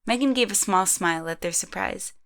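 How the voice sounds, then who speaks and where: A woman's voice: mid-range voice, a little fast, a bit bright. A woman reading scripted third-person narration for an amateur audio drama.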